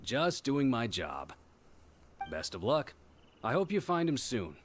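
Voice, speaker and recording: A man's voice: medium pitch, big pitch swings, slow, slight background noise. A man playing a helpful, modest desert local in a video game, speaking in an obliging, earnest way. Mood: kindly.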